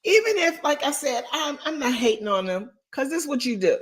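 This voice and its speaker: A raspy-voiced woman runs a live coaching session. Her pitch sits a little high. She drives each point home with lively emphasis, speaking straight to her listeners. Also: brisk. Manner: animated, emphatic, talks straight to the audience. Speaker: real estate coach.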